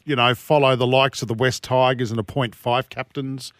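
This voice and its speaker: A man's voice: mid-range voice, level tone, moderate pace, fairly bright tone, no room sound, clean studio sound. A man hosting a sports radio show, chatty and joking with his guest. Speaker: radio host. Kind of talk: casual sports radio chat.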